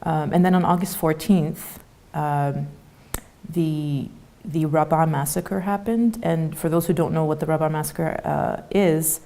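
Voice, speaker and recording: A woman in her thirties who grew up in Canada, speaking as an interview guest in a soft, halting way with many fillers. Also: deep voice, fairly even intonation, vocal fry. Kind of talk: conversational interview.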